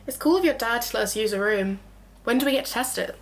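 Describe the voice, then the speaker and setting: A woman's voice: a little high, fast, close to an everyday voice. A woman playing a casual, curious young friend in an audio drama, informal and inquisitive. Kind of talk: naturalistic audio drama dialogue. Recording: echoey room with faint noise.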